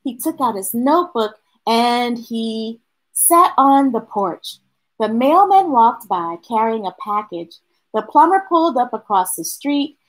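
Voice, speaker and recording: A middle-aged woman reading a picture book aloud to children, expressive, with chatty asides to her young listeners. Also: on the high side, rough recording. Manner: expressive, drawn-out delivery addressing young listeners. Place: small indoor room with bookshelves.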